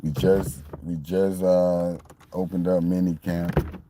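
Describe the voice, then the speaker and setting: A man's voice: low-pitched, little pitch movement, slow pace, background noise. A man in his late forties from Miami, talking casually and repetitively about his football team. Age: 40s. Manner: repetitive, meandering, conversational. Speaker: sports fan commentator. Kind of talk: casual sports talk monologue.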